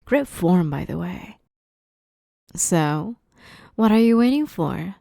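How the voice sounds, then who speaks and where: A smug, playful vampire woman, acted by a woman for an ASMR roleplay, with a slightly low, dark and velvety voice. She teases and threatens in a slow drawl that often sinks into whispers, recorded close and dry. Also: lively intonation, no room sound, heavily acted. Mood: teasing. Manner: teasing, drawling, often dropping to breathy whispers. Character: playful, smug, menacing female vampire interviewer. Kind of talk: ASMR roleplay, scripted monologue.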